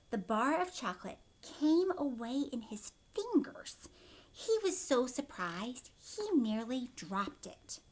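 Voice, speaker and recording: A woman reading a children's story aloud expressively, pausing to explain things simply to young listeners like a teacher. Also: a little high.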